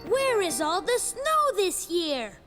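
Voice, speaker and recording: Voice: very high-pitched, very animated, takes it slowly, heavily acted. A performer voicing a child reciting a part in a school Christmas play in a cartoon. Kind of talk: scripted children's cartoon acting. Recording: music behind, slightly distant.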